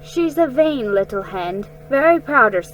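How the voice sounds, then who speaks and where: A woman voicing a kindly, generous neighbour lady from a children's storybook, encouraging and gently emphatic. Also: a little high, animated, a little slow, soft background music, poor audio. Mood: fond, proud.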